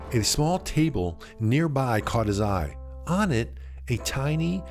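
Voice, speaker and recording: Male narrator for suspense fiction: mid-pitched, slow and measured, dramatic pauses, widely varied intonation. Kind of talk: dramatic scripted story narration. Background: music.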